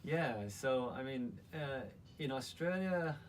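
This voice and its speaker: A man from Malaysia speaking as a guest in a casual interview, earnest and halting in his delivery. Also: medium pitch, expressive. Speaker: interview guest, company founder. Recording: distant mic, faint background noise.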